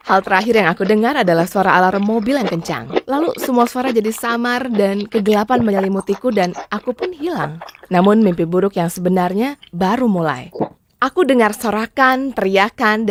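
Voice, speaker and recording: A woman narrating a scripted first-person story in a dramatic storytelling style. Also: medium pitch. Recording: clearly noisy background with clicks.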